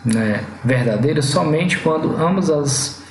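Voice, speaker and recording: A Brazilian man walks through a logic problem like a patient exam-prep teacher, stretching out key words and tagging sentences with quick checks. His voice has a gravelly rasp, and the room gives it a noticeable echo over a faint background hum. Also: fairly high pitch, expressive. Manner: didactic, frequent "tá?" and "né?" checks, slows on key words. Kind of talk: exam-prep lecture at a whiteboard.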